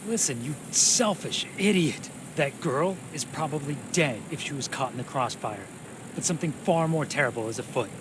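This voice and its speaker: An actor playing an embittered, desperate soldier in a video game, speaking in a grim, insistent and commanding way. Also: male voice, a little high, lively intonation, moderate pace, noisy background, natural voice. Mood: urgent, commanding. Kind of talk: dramatic video game voice acting.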